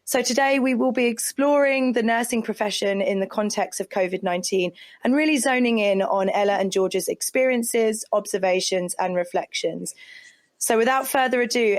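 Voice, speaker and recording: A woman's voice: mid-range voice, no echo. A woman hosting a podcast interview in a conversational, effusive and appreciative way. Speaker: podcast host.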